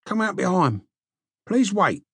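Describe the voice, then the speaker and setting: A man voicing a hurried, pleading male slave messenger in an audiobook, with an urgent manner. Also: sits high, sing-song, gravelly, fairly bright tone, slightly rough, dry recording, voice pushed up, full character voice.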